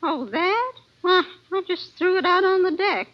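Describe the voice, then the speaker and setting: A woman's voice: very high voice, expressive, unhurried. An actress playing a motherly, fussing older lady with a chatty, folksy manner in an old radio drama. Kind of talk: 1940s-50s radio drama acting. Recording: dull vintage radio recording.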